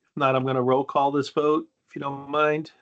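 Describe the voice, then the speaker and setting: The audio is dull and lo-fi. A man with a flat, even delivery chairs a board meeting, keeping things procedural and plain-spoken at a middling pitch. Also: brisk. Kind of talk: informal running of a formal meeting.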